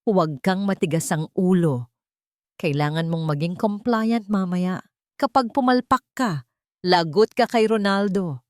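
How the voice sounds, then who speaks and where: A woman's voice: fairly low pitch, measured pace, a little mellow, no room sound, clean studio sound, natural voice. A woman voicing a haughty, scheming best friend in an audio drama, snappish, bossy and menacing. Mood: angry, bullying. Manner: snappish, domineering, commanding.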